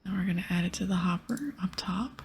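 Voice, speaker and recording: A woman hosting an ASMR game playthrough, softly spoken and often whispering in a breathy, soothing, unhurried way. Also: lowish voice, animated. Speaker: host of a gaming video series.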